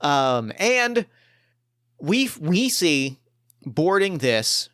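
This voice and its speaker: A man's voice: on the high side, big pitch swings, extremely slow, fairly bright tone, no room sound, studio quality. A man hosting as game master, chatting casually and narrating a game scene with enthusiasm. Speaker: game master and podcast host.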